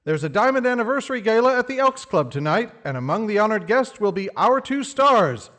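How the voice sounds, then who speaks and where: High, animated old-time radio announcer played by a man. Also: a little fast. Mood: genial, presentational. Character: old-time radio comedy show announcer, genial pitchman.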